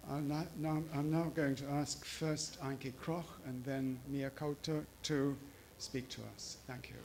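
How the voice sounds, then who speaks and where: Slow, formal male moderator. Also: mid-range voice, level tone, a little mellow, slight background noise. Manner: formal, measured, with some fillers. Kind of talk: formal introduction.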